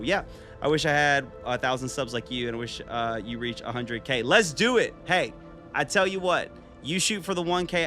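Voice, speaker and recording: A man in his thirties talks casually and upbeat to his live chat while he plays, answering viewers one after another. His voice sits at an ordinary middle pitch. Game music plays clearly underneath him. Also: sharp tone. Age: 30s. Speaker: gaming streamer.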